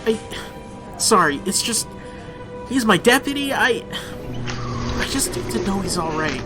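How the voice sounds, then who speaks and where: An actor playing a hot-tempered, cornered small-town police chief in a radio drama. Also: male voice, high-pitched, big pitch swings, a little slow, over music. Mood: anxious.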